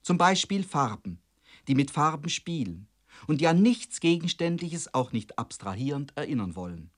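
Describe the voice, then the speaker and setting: A man delivering a formal scripted radio lecture in the style of the late 1960s, in a measured, emphatic and rhetorical manner. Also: fairly high pitch, very animated. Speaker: head of radio drama department introducing a play.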